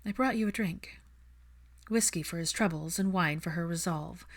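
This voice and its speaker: Female audiobook narrator, slightly low pitch, scripted story reading.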